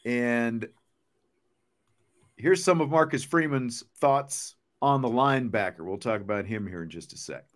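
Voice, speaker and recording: A man's voice: medium pitch, measured pace. Chatty man hosting a sports podcast. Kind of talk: casual sports talk.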